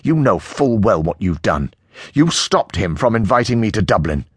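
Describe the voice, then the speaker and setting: A man's voice: on the low side, big pitch swings, normal speed, husky, no echo, studio quality, pitched above the performer's own voice. A man voicing an angry, defensive male character in an audiobook, mocking and aggrieved. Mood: mocking, menacing. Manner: menacing, mocking, indignant.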